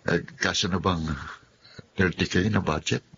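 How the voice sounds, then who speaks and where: A man's voice: low voice, steady tone, a little slow, gravelly, fairly bright tone, full character voice. A man voicing a playful, teasing young man hosting his birthday party in a radio drama. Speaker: Andrew, party host. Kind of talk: Tagalog radio drama acting. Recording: dull lo-fi audio with faint hiss.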